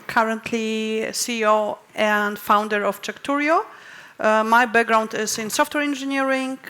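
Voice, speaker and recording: A woman with a fairly high voice introduces herself on a conference panel. She talks in a friendly, direct way, with a few light fillers. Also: fairly bright tone, smooth. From Poland. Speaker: panel speaker, company founder. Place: conference stage.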